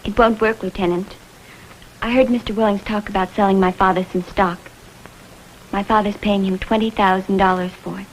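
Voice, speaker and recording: A woman's voice: medium pitch, steady tone, normal speed, slight background noise, close to an everyday voice, poor audio. Anxious young daughter played by a woman, mid-range voice, earnest, direct delivery, vintage TV crime drama. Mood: troubled.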